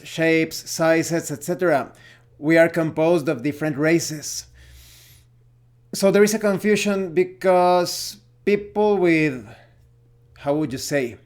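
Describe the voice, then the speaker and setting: A man presenting an opinionated explainer as a video host on tabletop role-playing games, talking in deliberate phrases broken by pauses. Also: fairly high pitch, darkish tone. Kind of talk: opinionated explainer talk to camera.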